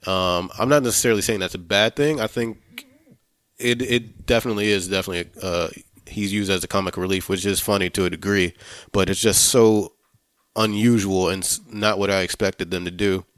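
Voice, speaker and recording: Male podcast host, very deep voice, close dry sound, hesitant and conversational, loose with swearing. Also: steady tone, fairly bright tone, no room sound. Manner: halting, searching for words, casual swearing. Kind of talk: casual film review discussion.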